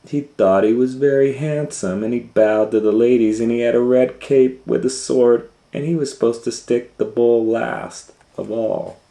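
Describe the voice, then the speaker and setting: A man reading a children's storybook aloud as a host, in a dramatic, teacherly manner, pausing to comment and ask questions. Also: mid-range voice, steady tone, mellow. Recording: echoey room with faint noise.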